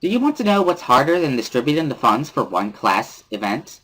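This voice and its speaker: A man voicing a cheerful, loud teenage schoolgirl who scolds emphatically as she relays a bossy class president's words. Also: mid-range voice, big pitch swings, fairly quick, breathy edge, rough recording. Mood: cheerfully scolding.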